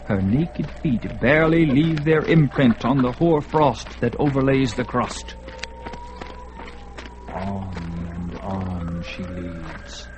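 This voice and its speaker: A man giving dramatic scripted narration for a radio drama, measured and intense. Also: mid-range voice, music in the background. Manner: dramatic, measured, rising with the action.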